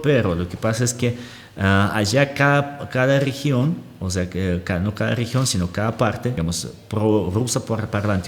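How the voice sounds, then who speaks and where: A man's voice: on the low side, darkish tone, long reverb tail. A man, talking as a guest analyst in an explanatory conversation. He searches for words and often checks in with a questioning aside.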